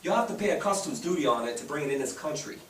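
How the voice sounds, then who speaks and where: A man's voice: on the high side, expressive, very fast, slight background noise, natural voice. A man playing a pushy, crooked customs officer who bullies a boy in a staged play reading. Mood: sly, appraising. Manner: hesitant calculating ums, then bossy demands. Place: theatre stage. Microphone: distant microphone. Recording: distant, echoey stage pickup.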